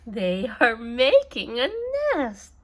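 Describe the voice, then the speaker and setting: A woman voicing a calm, matter-of-fact little pig friend from a picture book, giving simple, helpful answers. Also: a little high, takes it slowly, heavily acted. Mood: informative. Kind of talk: read-aloud children's storybook.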